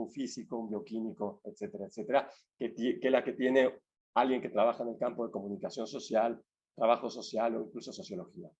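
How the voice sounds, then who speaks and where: A man giving an academic talk over a video call, measured and full of restarts. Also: mid-range voice, mellow, dull audio. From Argentina. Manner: measured, many restarts and fillers. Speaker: guest speaker.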